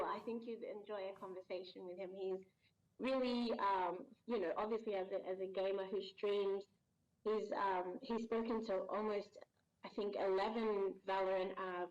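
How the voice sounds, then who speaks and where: A woman's voice: medium pitch, dull audio. Chatty, laughing woman guest. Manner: chatty, laughs often, lively. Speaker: guest voice actor. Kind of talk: casual interview chat.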